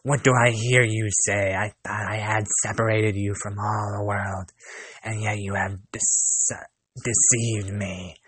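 A man voicing a wicked old enchantress woman who scolds and mocks, raised a little above his own low, dry voice. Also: level tone, a little slow, a bit bright, breathy edge, no room sound. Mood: furious. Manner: mocking, scolding.

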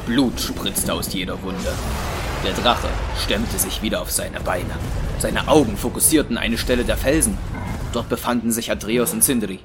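A man's voice: lowish voice, fairly even intonation, moderate pace, music in the background. A man reading scripted narration for an action audio drama.

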